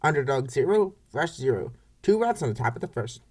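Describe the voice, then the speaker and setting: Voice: medium pitch, very animated, a little fast, darkish tone, slightly rough. A baseball play-by-play announcer reading scripted commentary for a comic dub in short, clipped phrases.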